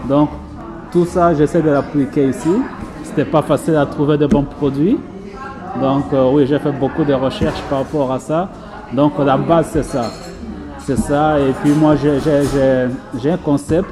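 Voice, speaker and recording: A man's voice: fairly high pitch, fairly even intonation, normal speed. Frenchman giving a testimonial over music. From France. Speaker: pastry chef and business owner being interviewed. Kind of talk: casual personal testimonial.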